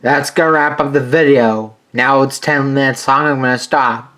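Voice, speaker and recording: Male video host, mid-pitched voice. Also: steady tone, moderate pace, pushed and bright, faint music behind. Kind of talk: YouTube video sign-off.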